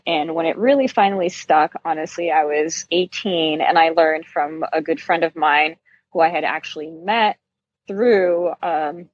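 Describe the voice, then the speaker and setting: A woman's voice: low voice, a little mellow, no room sound, lo-fi sound. A middle-aged woman from Brazil being interviewed, telling personal stories in a candid, self-deprecating, conversational way. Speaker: interview guest, yarn shop owner.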